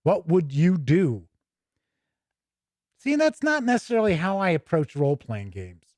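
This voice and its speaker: A man's voice: fairly high pitch, very animated, a little slow, a little mellow, dry recording. A man hosting a tabletop gaming show, casually thinking aloud and drifting into asides as he pages through a book. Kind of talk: casual live commentary while paging through a rulebook.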